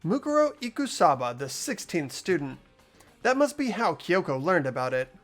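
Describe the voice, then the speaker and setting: A man's voice: on the high side, very animated, normal speed, faint music behind. A man voicing an earnest, hopeful teenage boy in a game playthrough, with a questioning manner. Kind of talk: voiced video game playthrough, dialogue and inner narration.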